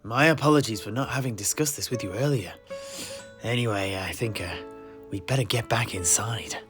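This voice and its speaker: A man playing a courteous, scholarly tavern keeper in a fantasy audio play, speaking formally and deliberately. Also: fairly low pitch, over loud music, close to an everyday voice. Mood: polite, genial. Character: courteous, scholarly tavern keeper and amateur historian, master to apprentices. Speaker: host.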